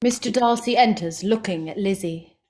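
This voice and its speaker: Woman narrator reading stage directions for a play reading, normal mid pitch, scripted. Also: moderate pace, darkish tone.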